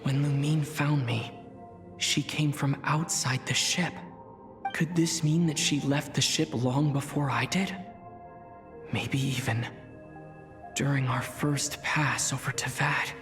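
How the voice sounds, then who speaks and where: Earnest young traveler hero, music behind. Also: male voice, medium pitch, fairly even intonation, slow pace, pauses often. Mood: pensive, earnest. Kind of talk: video game voice acting.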